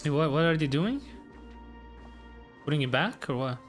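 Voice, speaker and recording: A man's voice: a little high, expressive, moderate pace. Casual male streamer reacting over music. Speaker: game streamer reacting to story scenes. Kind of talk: casual live reaction commentary. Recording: game music behind the voice.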